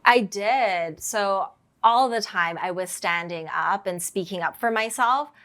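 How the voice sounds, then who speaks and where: A woman's voice: medium pitch, unhurried, a bit bright. A woman from Hong Kong, a podcast guest, recounting her past candidly and reflectively, with lots of "you know" and "like". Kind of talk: candid personal storytelling.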